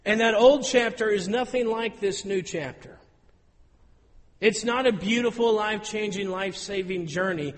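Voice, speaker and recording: A man in his thirties preaching a sermon in an auditorium, telling stories with lively, rising emphasis. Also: high-pitched, normal speed, in a large hall. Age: 30s. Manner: storytelling, animated with rising emphasis.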